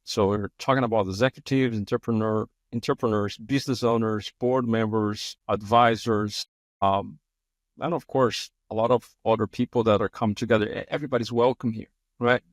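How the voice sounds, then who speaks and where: A man's voice: fairly low pitch, fairly even intonation, moderate pace, dry recording, studio quality. A man from Brazil with a Brazilian Portuguese accent, hosting an interview in English as a second language, effusive and often restarting his sentences. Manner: effusive, frequent restarts and repeated words. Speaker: podcast host. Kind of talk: conversational interview.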